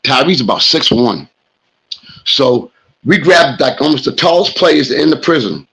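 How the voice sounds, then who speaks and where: A man's voice: medium pitch, lively intonation, measured pace, vocal fry, a bit bright, husky, poor audio. A man hosting a sports talk show, telling a story in a loose, rambling, casual way. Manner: loose, rambling anecdote with fillers.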